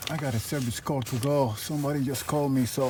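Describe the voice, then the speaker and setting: A man's voice: mid-range voice, steady tone, fast talker, background noise. A man talking informally, half to himself, as he films a casual hands-on repair vlog. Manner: informal, muttering to himself while working. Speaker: vlogger, tradesman doing a DIY truck repair. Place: at a vehicle being repaired.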